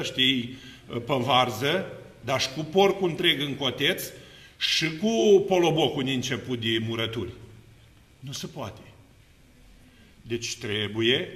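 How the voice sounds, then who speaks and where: Middle-aged man preaching, forceful bright tone, emphatic, rises to loud bursts, long church reverb. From Romania. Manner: emphatic, swings between low musing and loud exclamations; animated hand gestures while seated. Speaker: Orthodox priest. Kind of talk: extemporaneous sermon. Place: seated before an iconostasis in a church. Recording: echoey church hall.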